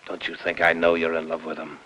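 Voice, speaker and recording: An actor playing a bitter, suspicious, bedridden husband in 1950s Hollywood melodrama, accusing and intense. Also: male voice, a bass voice, monotone, fairly quick, bright, forceful tone, a little hiss or hum. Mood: cold, accusing. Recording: dull vintage film soundtrack.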